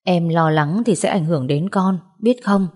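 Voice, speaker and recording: A woman voicing a calm, steady young husband in an audio story, speaking evenly and firmly. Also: lowish voice, moderate pace, natural voice. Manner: even, calm, firm.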